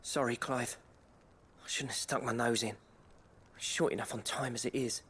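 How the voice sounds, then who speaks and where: A man's voice: mid-range voice, fairly even intonation, moderate pace, a bit bright, husky. A male actor playing a plain-spoken, chummy fighter in a video game, wry and colloquial, with a working-class English accent. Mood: apologetic, casual. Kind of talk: dramatic game acting.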